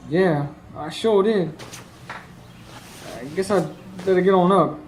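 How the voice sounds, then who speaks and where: An earnest young stable hand in a radio play. His voice sits fairly high and carries a weary sincerity as he tells his tale. It was taped live on stage with faint background noise. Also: slow pace, poor audio. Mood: gentle. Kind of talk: radio drama narration and dialogue.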